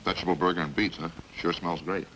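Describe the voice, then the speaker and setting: An actor in a 1950s radio crime drama playing a chatty killer who confesses in a casual, folksy, matter-of-fact way. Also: male voice, low voice, fairly even intonation, fast, lightly acted. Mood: eager, chatty. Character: chatty, hungry male killer calmly confessing over supper. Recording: hissy old-time radio recording.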